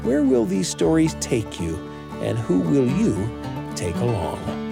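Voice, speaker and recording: Male radio host, scripted outro, lively melodic delivery with clear guitar music underneath. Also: a little high, slow pace.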